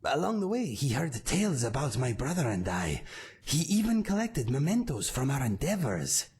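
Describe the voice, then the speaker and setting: A raspy cat-folk swordsman. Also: mid-range voice, big pitch swings, full character voice. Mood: earnest. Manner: measured, formal and warm-hearted. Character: calm male cat-folk swordsman, earnest and loyal. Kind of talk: game character dialogue.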